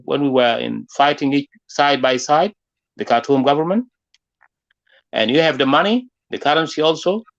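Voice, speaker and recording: A man's voice: mid-range voice, muffled recording. A man from South Sudan with a South Sudanese accent, hosting an unscripted political discussion in a discursive way, often saying "you know". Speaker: interviewer, forum host.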